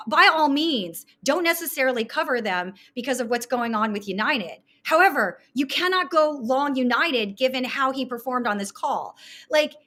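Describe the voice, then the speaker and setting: A woman's voice: high voice, pushed and bright. A woman giving an informal, opinionated analysis of markets as an investor, speaking emphatically as she talks through her slides.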